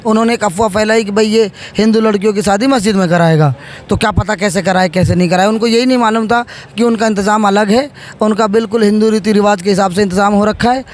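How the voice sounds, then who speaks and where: Male politician, 30s, high voice, halting interview delivery with repeated phrases. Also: fairly even intonation. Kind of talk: interview answers.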